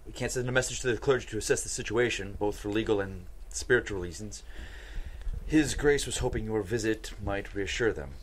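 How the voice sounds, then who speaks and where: A man acts a dry, insistent bishop in a table-read drama. He talks fast in a fairly low voice, pressing his case persuasively into a close studio mic. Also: lightly acted. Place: small podcast studio. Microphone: close studio microphone.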